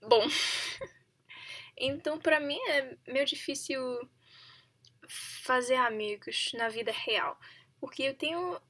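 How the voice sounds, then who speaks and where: Slow, hesitant young woman practicing languages. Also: high-pitched, bright, forceful tone. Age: 20s. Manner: hesitant, halting, laughs often; self-correcting, searching for words. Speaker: language learner vlogger. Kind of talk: casual practice monologue to camera.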